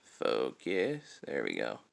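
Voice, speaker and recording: A man's voice: extremely low pitch, wildly swooping pitch, slow, vocal fry. A man giving a casual hands-on demonstration as a toy reviewer, explaining things step by step.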